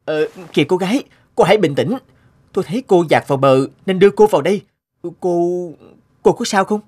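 A man voicing a kind, hardworking young fisherman, earnest and expressive, in an animated fairy-tale dub. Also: high voice, a little fast, husky. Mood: gentle, concerned. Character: kind, hardworking young fisherman-farmer, anxious but steady.

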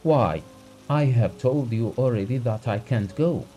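A man's voice: mid-range voice, very animated, measured pace, dark tone, a little hiss or hum. A man voicing a grief-stricken, exhausted doctor in a story, refusing emphatically and irritably, with alarm in his manner. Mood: firm.

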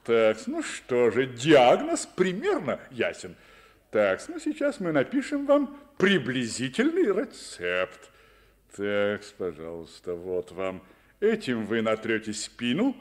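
An actor playing an absent-minded, careless ship's doctor in a children's radio play, with theatrical, sing-song delivery. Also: male voice, mid-range voice, wildly swooping pitch, slow. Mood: fussy, muttering. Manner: theatrical, half-sung verse, muttering asides.

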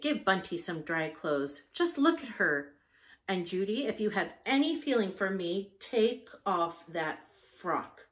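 A woman voicing an exasperated young stepmother of twenty, scolding and fretful. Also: mid-range voice.

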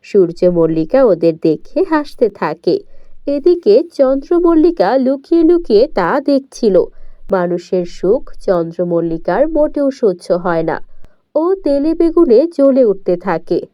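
A woman's voice: on the high side, very animated, unhurried, dry recording, dull audio. A woman narrating a scripted fairy tale for children as a storyteller.

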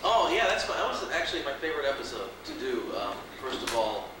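A man in his thirties, born in Puerto Rico and raised in California, answering fan questions in a relaxed, halting way. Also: medium pitch. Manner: relaxed, halting, laughs easily. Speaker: voice actor on a convention panel. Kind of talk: casual panel chat. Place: large hotel function room. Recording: echoey room, distant camcorder mic, hiss.

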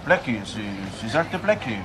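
A man's voice: medium pitch, big pitch swings, moderate pace, lightly acted. Actor as an evasive man, noisy recording. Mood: evasive, defensive. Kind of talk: radio crime drama acting. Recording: noisy recording with urban ambience.

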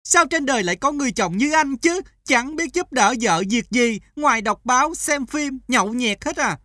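A woman playing an exasperated, nagging housewife in a comedy cartoon, with a sharp scolding manner. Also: high-pitched, lively intonation, moderate pace, dry recording, studio quality. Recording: loud music behind.

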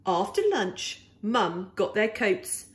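A woman reading a children's picture book aloud in an animated, expressive, deliberate way. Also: mid-range voice.

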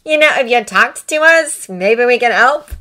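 A woman's voice: fairly high pitch, lively intonation, measured pace, sharp tone, pitched above the performer's own voice. A woman voicing a frank, inquisitive teenage girl in a dramatized story reading. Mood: pointed.

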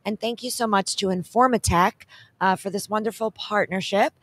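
A middle-aged woman co-hosting an event wrap-up, upbeat and promotional, giving shout-outs, talking into a handheld mic at an expo booth set. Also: medium pitch, normal speed, breathy edge. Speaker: co-host.

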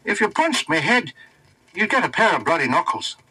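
A man's voice: high voice, sing-song, normal speed, bright, forceful tone, breathy edge, pitched above the performer's own voice, full character voice, rough recording. A man voicing a sly, unruffled goat with casual colloquial speech in a storybook reading. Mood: amused, unruffled.

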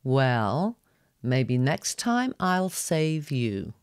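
Woman doing a brash, lively young gargoyle boy. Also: a bass voice, drawn-out words, clean tone, dry recording, studio quality, heavily acted. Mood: playful. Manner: brash, carefree.

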